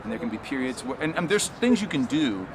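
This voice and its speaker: A man's voice: mid-range voice, big pitch swings, brisk. A man in his fifties, a working drummer, giving an earnest, reflective interview answer full of "like"s. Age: 50s. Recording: outdoor street crowd noise.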